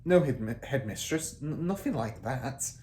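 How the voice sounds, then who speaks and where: A man's voice: medium pitch, animated, moderate pace, darkish tone, slight background noise, natural voice. A man voicing an earnest, flustered female primary school teacher in a children's storybook, pleading and protesting. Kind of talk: children's storybook character reading.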